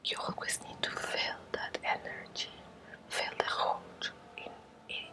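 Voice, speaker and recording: A woman with a Spanish accent guides an ASMR meditation in a breathy whisper, in short, halting, repeated phrases. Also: rough recording. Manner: slow, halting short phrases with gentle repetition. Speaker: ASMR creator guiding a Reiki energy exercise.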